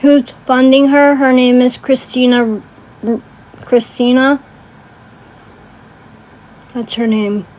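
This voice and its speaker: A woman's voice: high-pitched, flat delivery, takes it slowly, long pauses, darkish tone, rough recording. A woman ranting casually on a livestream in a blunt, sweary way, often checking in with emphatic tag questions. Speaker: livestream host.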